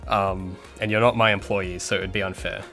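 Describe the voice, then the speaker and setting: Bright-voiced man rambling on a stream. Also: sits low, flat delivery, brisk, faint music behind. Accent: Australian with some American sounds. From Australia. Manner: rambling, many fillers and restarts. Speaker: indie game developer streaming. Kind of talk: casual livestream chat.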